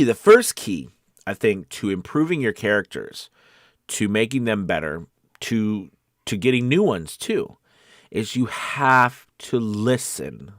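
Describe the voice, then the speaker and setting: Man, lowish voice, dry close sound, animated, emphatic, conversational, livestream coach and host. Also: no room sound. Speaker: host of a voice-acting coaching show. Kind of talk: casual livestream coaching talk.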